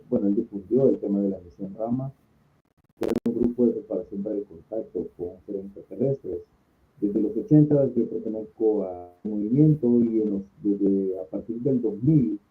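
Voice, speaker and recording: A middle-aged man with a Salvadoran Spanish accent, answering interview questions as a guest in an earnest, explanatory way with deliberate pauses. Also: lowish voice. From San Salvador, El Salvador. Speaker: invited guest, conference speaker and contact group organizer. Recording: thin phone-like call audio.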